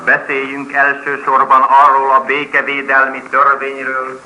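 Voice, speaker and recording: A man's voice: mid-range voice, completely flat delivery, normal speed, muffled recording, close to an everyday voice. A man reading a formal 1950s radio broadcast as a foreign-service broadcaster. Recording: noisy, echoey old radio broadcast.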